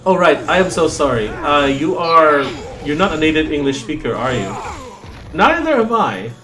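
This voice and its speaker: A man's voice: fairly high pitch, big pitch swings, normal speed. A man whose first language is Cebuano, chatting and joking as he streams a game and reads its text aloud. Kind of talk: let's play commentary.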